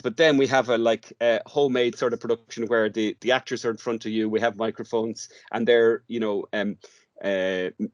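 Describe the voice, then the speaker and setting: A man with an Irish accent answering questions in an informal podcast interview, speaking haltingly, repeating words as he searches for the next one. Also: on the low side, no room sound, studio quality. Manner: hesitant, halting delivery with repeated words and long searching pauses. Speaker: interview guest, radio drama workshop director.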